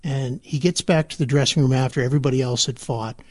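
A male newspaper sports reporter, a guest on a podcast. He tells his stories in a measured, even way. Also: medium pitch. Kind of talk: casual podcast interview.

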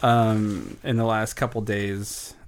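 A man co-hosting a casual podcast, sharing news in a halting, hesitant way. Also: on the low side, little pitch movement. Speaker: podcast co-host.

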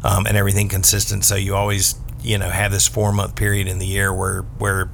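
A man's voice: low voice, monotone, a little fast, vocal fry, slight background noise. A man on a podcast panel, explaining things in a casual conversational style.

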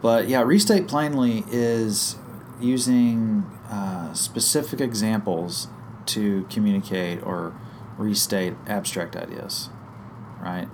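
Hoarse man with a head cold. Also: on the low side, takes it slowly, darkish tone, slight background noise. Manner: thinks aloud with fillers and restarts. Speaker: guest teacher on small group ministry. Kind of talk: conversational podcast explanation.

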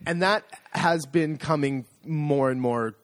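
An American man co-hosting a casual podcast chat, self-deprecating and animated, often restarting his sentences. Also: a little high, slow, no echo, clean studio sound. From United States. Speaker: podcast co-host.

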